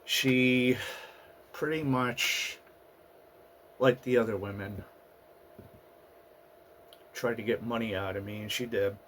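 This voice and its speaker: Slow, raspy man getting over a flu. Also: medium pitch, fairly even intonation, a bit bright, a little hiss or hum. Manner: halting, with fillers and restarts. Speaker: channel host. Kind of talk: casual personal monologue. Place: at home in the US Northeast.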